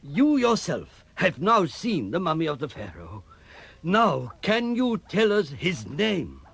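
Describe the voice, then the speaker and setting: Radio drama inspector: grave Egyptian policeman, deliberate wording, very varied intonation, raspy tone. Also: a little high, wildly swooping pitch, a bit bright, heavily acted. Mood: measured, probing. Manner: formal, deliberate.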